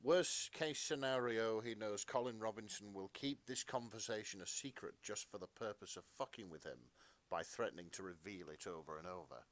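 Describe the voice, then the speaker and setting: A man's voice: on the low side, moderate pace. A man co-hosting a podcast, narrating fan fiction melodramatically with casual asides.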